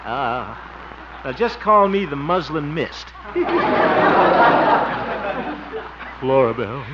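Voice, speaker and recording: An actor playing a jovial, bashful small-town bachelor in a 1950s radio comedy, hemming and chuckling in a theatrical way. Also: male voice, fairly high pitch, sing-song, very slow, crowd noise, noisy background, exaggerated voice. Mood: teasing. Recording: muffled, lo-fi old radio recording.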